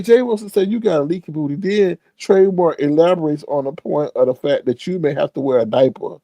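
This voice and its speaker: A man's voice: vocal fry, no room sound. Stuffy-nosed male host, slangy gossip commentary, close dry mic. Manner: animated, laughing, loud pitch jumps on reactions; slangy, says "child" often. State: congested. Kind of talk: casual gossip commentary stream.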